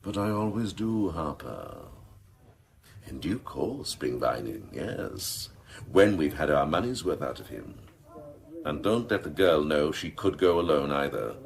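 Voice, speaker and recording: A man's voice: very animated, measured pace, full character voice. A man playing a scheming, gleefully cynical senior police officer in a radio drama, with a theatrical, sardonic way of relishing his words. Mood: sly, sardonic. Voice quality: very deep, gravelly.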